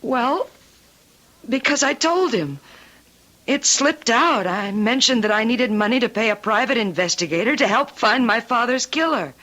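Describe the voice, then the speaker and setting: A woman's voice: on the high side, lively intonation, normal speed, fairly bright tone, low-quality recording. An actress playing an earnest, guilt-ridden daughter of a murdered man in an old-time radio drama, speaking with careful, confiding hesitancy. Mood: explaining.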